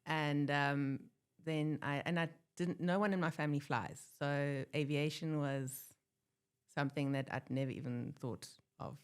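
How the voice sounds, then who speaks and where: A woman's voice: low-pitched, steady tone, measured pace, clear, resonant tone. A woman from Africa, telling her story as a guest in a casual interview, laughing often. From born in Germany, grew up in South Africa. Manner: chatty, laughs often, acts out quotes. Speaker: guest, airline pilot.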